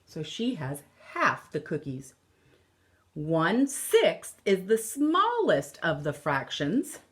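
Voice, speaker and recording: A woman in her thirties, a teacher reading a picture book aloud to children and explaining it deliberately, with emphasis on key words, recorded in a room at home. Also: fairly low pitch, wildly swooping pitch, a bit bright. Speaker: elementary teacher.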